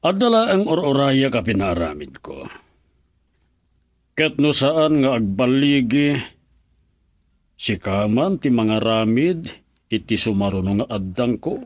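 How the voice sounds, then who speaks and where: A man's voice: medium pitch, sing-song, measured pace, long pauses, dry recording, studio quality. An actor playing a stern, scheming father in an Ilocano radio drama, speaking with a Filipino Ilocano accent. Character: scheming, stern father plotting against son-in-law.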